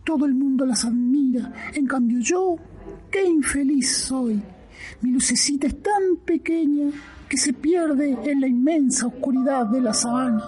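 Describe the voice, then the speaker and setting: A woman's voice: high voice, a little slow, dark tone, low-quality recording. A woman voicing a small, ambitious and envious young male firefly in an amateur radio play, with a wistful, tearful way of talking. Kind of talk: amateur radio theatre acting.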